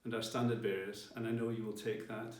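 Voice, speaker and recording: A man giving a formal ceremonial address as a college leader, with measured, deliberate phrasing. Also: lowish voice, little pitch movement. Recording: roomy, distant mic, faint music behind.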